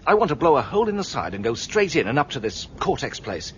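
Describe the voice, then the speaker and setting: A man playing a hard-bitten, sardonic security chief in a 1970s sci-fi radio drama, brisk and decisive. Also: medium pitch, very animated, close to an everyday voice. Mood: grimly decisive. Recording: dull lo-fi recording, faint noise.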